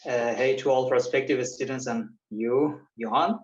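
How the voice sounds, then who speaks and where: A man's voice: mid-range voice, steady tone, normal speed. A man introduces himself on a video call with friendly hesitation. The audio is dull and lo-fi. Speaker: master's student panelist.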